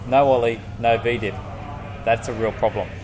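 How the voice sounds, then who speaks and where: A man's voice: medium pitch, steady tone, moderate pace, a crowd in the background. A man co-hosting a casual podcast, reading out a guest's written answers and bantering loosely with the occasional swear word. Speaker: podcast co-host. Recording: music playing underneath.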